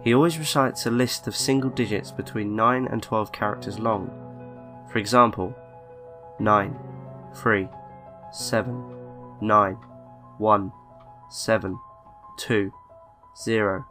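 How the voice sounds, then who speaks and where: A man narrates a scripted horror story in a lowish voice. His reading is measured and deliberate, broken by pauses. Soft music plays underneath. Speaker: narrator of a creepypasta video. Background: background music.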